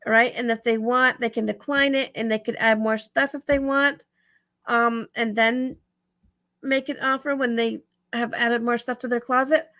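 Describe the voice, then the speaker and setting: Casual female tutorial vlogger, higher register, very creaky and raspy, tired, thinks out loud. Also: steady tone, moderate pace. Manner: rambling, thinking aloud, self-correcting. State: tired, dry-throated. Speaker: vlogger giving reselling tips. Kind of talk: casual screen walkthrough tutorial.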